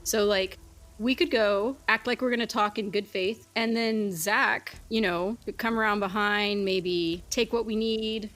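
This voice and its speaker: A woman's voice: medium pitch, fairly even intonation, unhurried, fairly bright tone, faint music behind. A woman in casual improvised role-play as a tabletop game player, chatty and thinking aloud.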